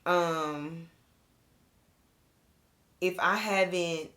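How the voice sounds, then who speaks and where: A woman in her thirties co-hosting a podcast, rambling in relaxed casual chat and laughing easily. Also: on the low side, very slow. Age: 30s. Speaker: podcast co-host.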